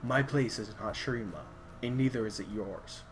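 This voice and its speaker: A man voicing a haunted male swordsman who speaks in a low, cool and measured way. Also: a little hiss or hum, close to an everyday voice.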